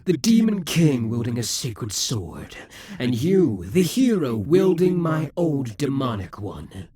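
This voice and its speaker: A man voicing a proud, vengeful male demon king centuries old, speaking slowly and theatrically in an audio roleplay, with hissing whispers at times. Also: mid-range voice, sing-song, mellow. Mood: gloating. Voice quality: very husky, breathy.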